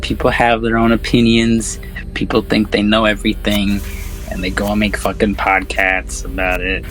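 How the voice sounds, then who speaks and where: Low-pitched man, casual and slangy. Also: completely flat delivery, moderate pace, fairly bright tone, soft background music, poor audio. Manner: laid-back, slangy, profane. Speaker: podcast co-host. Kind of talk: casual film discussion chat.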